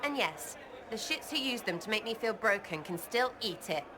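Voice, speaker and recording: A woman's voice: mid-range voice, expressive, normal speed, close to an everyday voice. A woman playing a scrappy, irreverent rogue in a video game, blunt and colloquial. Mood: defiant, weary. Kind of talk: video game character dialogue. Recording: crowd and noise in background.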